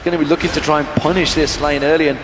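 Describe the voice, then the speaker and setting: A man doing brisk esports play-by-play commentary on a headset at a packed convention hall. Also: a little high. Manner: brisk, excitable hype. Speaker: esports caster. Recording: echoey arena with crowd noise.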